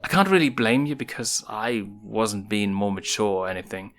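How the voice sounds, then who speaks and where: A man voicing an earnest young lion cub prince with a casual, halting, boyish way of talking. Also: fairly low pitch, animated, a bit bright. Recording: music behind the voice.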